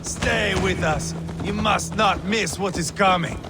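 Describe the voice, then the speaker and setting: A man's voice: high voice, takes it slowly, over music. A man acting a cruel, theatrical Viking warlord in a video game, gloating and mocking as he savors his words.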